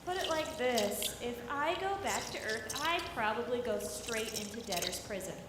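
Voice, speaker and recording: Echoey, high-voiced, guarded woman space engineer played by an actress. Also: animated, normal speed, lightly acted. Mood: wry, candid. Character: guarded, self-deprecating young female space engineer, lonely and practical. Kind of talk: live radio drama acting. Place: live convention stage performance in a hall. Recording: echoey noisy hall, long reverb.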